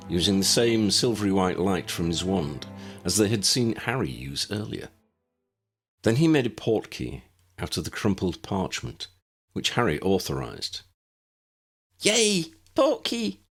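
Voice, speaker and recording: A man with a gravelly, husky voice reading scripted story narration. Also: deep voice, very animated, normal speed, fairly bright tone, soft background music. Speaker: narrator, audiobook reader.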